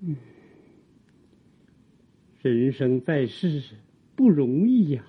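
Husky, aging palace eunuch. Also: female voice, very deep voice, very animated, lo-fi sound, heavily acted. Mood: remorseful, weary. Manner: sighing, halting, theatrical delivery. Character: aging palace eunuch, servile, guilt-ridden, fearful. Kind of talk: Chinese audio drama acting.

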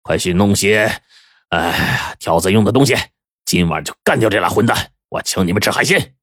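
A man's voice: lowish voice, lively intonation, moderate pace, fairly bright tone, no room sound, clean studio sound. A man voicing a ruthless male gang boss giving commands in a spoken story. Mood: menacing.

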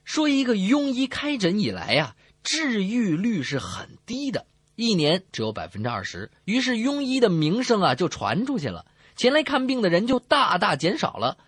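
A radio comedy co-host telling jokes in a storytelling manner, with drawn-out phrasing. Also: medium pitch, wildly swooping pitch, fairly bright tone, breathy edge, no echo.

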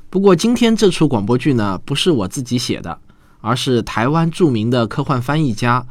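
A man's voice: mid-range voice, very animated, a little fast, dry recording. A man hosting a show, giving a casual, chatty introduction. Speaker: podcast host.

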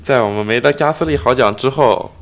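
A man's voice: mid-range voice, fairly quick, noisy background. A man co-hosting a casual motor racing chat with wry, teasing interjections. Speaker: podcast co-host.

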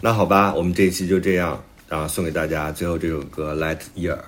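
Deep-voiced man chatting on a podcast. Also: little pitch movement, fast. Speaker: author and podcast host. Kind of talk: casual podcast chat.

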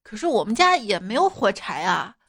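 A woman's voice: medium pitch, sing-song, normal speed, fairly bright tone, husky, no room sound, studio quality, exaggerated voice. A woman playing a playful, teasing mother who coaxes a stubborn child in a comic bedtime sketch. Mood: coaxing, amused. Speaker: storyteller and performer. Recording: music behind the voice.

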